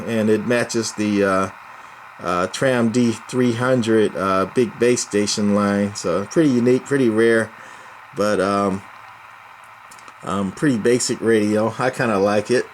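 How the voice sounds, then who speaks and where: A man giving a casual, hesitant equipment demonstration as a hobbyist presenter, often pausing with ums. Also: on the low side, fairly even intonation, poor audio. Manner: hesitant, halting delivery with frequent ums and pauses. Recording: noticeable background noise.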